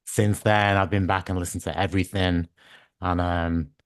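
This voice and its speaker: Brief: low-voiced man, croaky today, chatty interviewer, dry sound. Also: level tone, moderate pace, no echo. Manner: friendly, hesitant, many ums and restarts. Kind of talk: casual interview questions.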